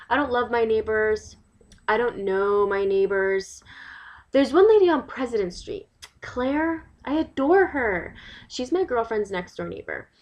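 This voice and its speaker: A woman performing a dramatic monologue as an indignant, worried New York mother, emphatic and exasperated, firing rhetorical questions. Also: a little high. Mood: earnest. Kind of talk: dramatic monologue, testimonial to a listener.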